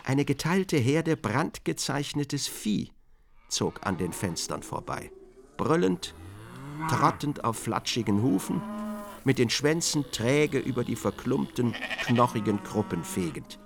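A man with a creaky voice narrating a literary radio drama expressively, acting out the quoted speech. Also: mid-range voice, sing-song, slow pace, background noise.

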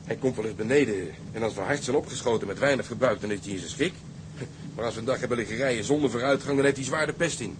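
An actor playing a grumbling, coarse young engine-room hand in a Dutch radio drama, complaining in slangy talk. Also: male voice, mid-range voice, fast talker, natural voice. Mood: realising. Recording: noisy engine ambience, off-mic.

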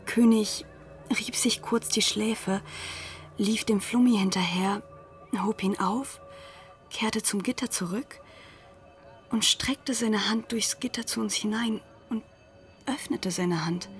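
A woman playing a school pupil who narrates a literary radio drama in a hushed, deliberate way. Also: medium pitch. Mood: grave, uneasy. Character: former school pupil recounting events as narrator.

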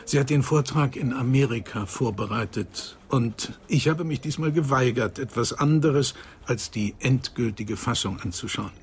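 An actor playing an earnest psychoanalyst of nearly eighty in a German radio crime drama, speaking in a measured, emphatic way. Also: male voice, mid-range voice, slight background noise, natural voice. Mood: emphatic, grave. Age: older.

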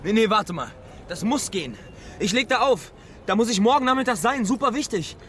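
A self-important, pushy young traveller in a radio play. The voice sits high and makes demands. Faint terminal ambience surrounds it. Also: male voice, lively intonation, a little fast, a bit bright, slight background noise. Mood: insistent. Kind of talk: radio drama dialogue.

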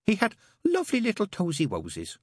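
A man's voice: sits high, wildly swooping pitch, fairly quick, a little mellow, breathy edge, no room sound, studio quality, voice pushed up, exaggerated voice. A man voicing a prim woman in an audiobook, a severe businesswoman who can turn blank and dreamy. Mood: wistful, dazed.

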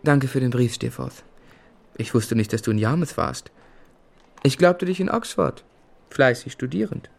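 An actor playing a courteous, affectionate young man who tells his life story in measured phrases in a radio play. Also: mid-range voice, expressive, vocal fry, soft background music. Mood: friendly, eager. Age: young adult. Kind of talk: literary first-person narration and radio play acting.

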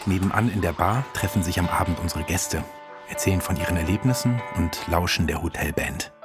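Low-pitched male narrator over music. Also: fairly quick. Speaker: narrator and guide. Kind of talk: scripted narration addressing the listener.

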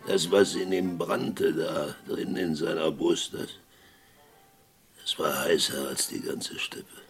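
An actor playing a fervent, gravelly friend of a condemned man in a German Western radio play, dramatic and given to repeating himself. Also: rumbling low, fairly even intonation, soft background music. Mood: haunted, grave. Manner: slow, halting, dramatic storytelling with repetitions.

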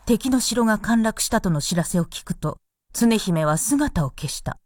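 A woman's voice: medium pitch, animated, moderate pace, dry recording, studio quality. A woman reading scripted narration for a radio drama, with music behind her.

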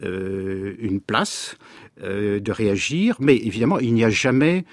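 A man speaking as a literary scholar in a radio discussion, halting and repeating words as he searches for phrasing. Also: on the low side, no room sound, studio quality.

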